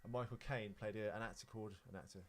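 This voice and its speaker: A man's voice: lowish voice, steady tone, fast. A man co-hosting a podcast, chatting casually about films and celebrities in a rambling, sweary way. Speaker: podcast co-host.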